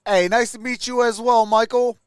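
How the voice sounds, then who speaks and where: A man's voice: very high-pitched, fairly even intonation, normal speed, clear, resonant tone, dry recording, clean studio sound. A man hosting a sports livestream, chatting casually and commenting as he answers viewers. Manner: greets viewers by name, conversational.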